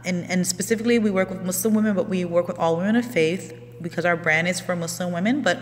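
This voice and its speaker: A woman in her thirties, coaching casually on a live stream with a slightly low voice. She talks in a relaxed, rambling way, full of ums. The sound carries a long reverb and a faint hum. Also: fast. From Houston, Texas. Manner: relaxed, chatty, many ums and restarts. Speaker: life coach.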